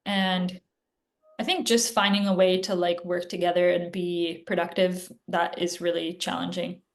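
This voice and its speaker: A woman's voice: lowish voice, level tone, moderate pace. Chatty woman doctor on a video call. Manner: chatty, filler-heavy, frequent "like" and "um". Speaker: guest physician. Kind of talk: casual interview answers.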